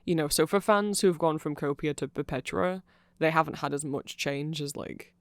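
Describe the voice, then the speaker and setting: A man's voice: a little high, moderate pace, clear, resonant tone, no echo. A man giving a casual ASMR explainer, rambling along with nerdy enthusiasm. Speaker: ASMR audio creator.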